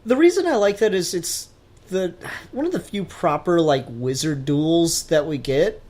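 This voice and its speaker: A man's voice: fairly high pitch, expressive, measured pace, a little mellow. A man hosting a casual pop-culture podcast, talking informally with geeky enthusiasm and some mild swearing. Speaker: podcast host.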